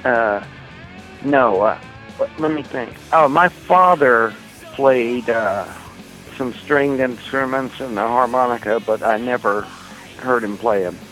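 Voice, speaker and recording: A man of middling pitch, originally from Oklahoma, answering interview questions about himself. He speaks softly and reflectively, stopping to think, over faint music. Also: rough recording. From Oklahoma, USA. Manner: thoughtful and soft-spoken, pausing to recall. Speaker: guitarist being interviewed. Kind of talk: casual interview chat.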